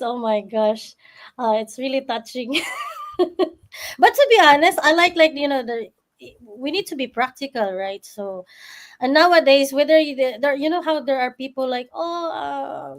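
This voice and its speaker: Woman host, bright higher pitch, chatty, filler-heavy talk. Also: lively intonation, unhurried. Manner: chatty, many "like" and "right?" fillers. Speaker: host of the group call. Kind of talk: casual chat.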